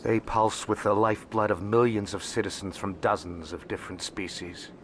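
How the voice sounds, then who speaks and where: An actor playing a shrewd, wealthy alien information broker in a video game, speaking in a calm, formal, measured way. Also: male voice, on the low side, slight background noise, natural voice. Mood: admiring, polished. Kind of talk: video game dialogue acting. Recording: music behind the voice.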